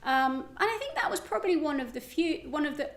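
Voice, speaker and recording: A woman's voice: high-pitched, animated, fast. A woman poet speaking informally and with self-deprecation in a live literary conversation. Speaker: guest poet. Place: indoor public event venue. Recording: echoey event room.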